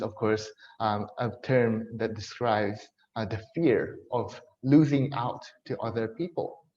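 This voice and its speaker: A man's voice: mid-range voice, darkish tone, highs cut off. A man from Japan giving a friendly, joking talk to a church gathering, with a Japanese accent mixed with American and British tones and frequent fillers. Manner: friendly, with many fillers and self-deprecating jokes. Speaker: speaker at a church event.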